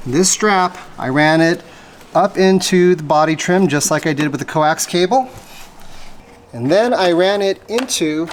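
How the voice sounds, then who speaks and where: A man hosting a casual how-to, explaining an installation step by step in a plain-spoken way, as he demonstrates. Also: on the high side. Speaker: host of a vehicle installation how-to. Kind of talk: casual hands-on tutorial narration. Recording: clear background ambient noise. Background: ambient noise.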